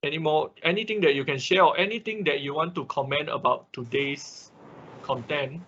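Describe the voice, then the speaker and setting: Male class instructor, forward bright tone with some rasp, fielding questions haltingly over a dull online call. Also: on the high side.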